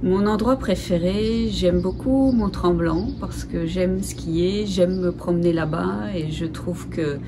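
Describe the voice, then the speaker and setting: A middle-aged woman from Lorraine answers interview questions in a measured way, stopping now and then to hesitate. Her voice sits on the low side. Steady ambient noise runs under the recording. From Lorraine, France. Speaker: interviewee, real estate broker.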